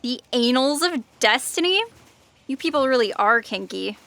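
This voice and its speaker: A sharp, high female self-destruct program, acted by a woman in a sci-fi comedy audio drama. It is theatrical, taunting and in love with destruction, recorded very dry. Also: expressive, moderate pace, no room sound, full character voice. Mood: sly delight. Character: Dee, gleefully destructive shape-changing self-destruct program.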